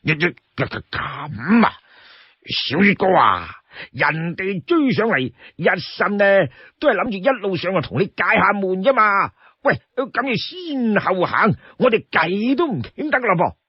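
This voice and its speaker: A man's voice: high-pitched, very animated, unhurried, slightly rough, dry recording, highs cut off, pitched above the performer's own voice, full character voice. A man voicing an impatient, simple-hearted burly outlaw warrior in a story, blustering and stammering his complaints. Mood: protesting.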